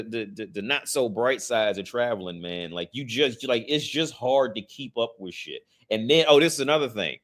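Man, thirties, lowish voice, rambling casual talk, swears a lot. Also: a bit bright. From United States. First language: English. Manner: rambling, swears often, frequent restarts. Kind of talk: casual monologue.

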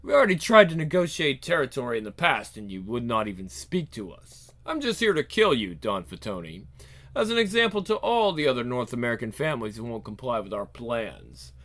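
A man's voice: medium pitch, very animated, fairly quick, fairly bright tone, voice pushed down. A man voicing an urbane, sadistic assassin who taunts in a controlled, theatrical way. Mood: cold menace. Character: sadistic, urbane male assassin, mocking, coldly amused villain.

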